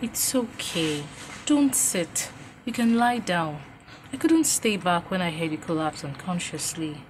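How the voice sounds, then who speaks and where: An actress playing an anxious, caring mother with a Nigerian accent, gently probing in a radio drama. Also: lowish voice, expressive, a little slow, a little hiss or hum, low-quality recording. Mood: worried. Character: worried, caring mother questioning her son.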